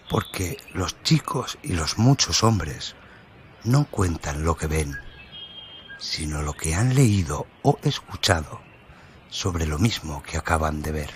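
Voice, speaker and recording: A man's voice: low-pitched, big pitch swings, measured pace. A man narrating a literary story in a dramatic radio style, over a noisy ambient background.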